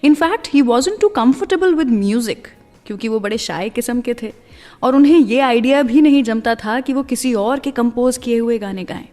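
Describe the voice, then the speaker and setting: Mellow Indian woman radio host, music behind. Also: on the high side, unhurried, long reverb tail. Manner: storytelling, addresses listeners directly, mixes in English phrases. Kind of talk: scripted radio presenting over music.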